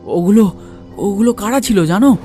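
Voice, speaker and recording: A man acts out a frightened gentleman passenger in a cartoon horror tale. His voice is raised and high, mellow in tone, stumbling over indignant, demanding questions. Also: moderate pace, natural voice. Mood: alarmed, questioning. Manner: agitated, stammering repeated questions. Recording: music under the voice.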